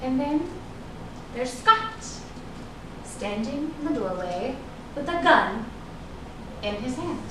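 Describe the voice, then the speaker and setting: Echoey, distant actress as a guarded, high-voiced woman. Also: sing-song, slow pace, long pauses, exaggerated voice. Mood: urgent, dramatic. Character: guarded, ambitious actress fending off an old flame. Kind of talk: staged reading of a stage drama. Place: echoey indoor room at a staged reading. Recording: echoey room, distant mic, noisy.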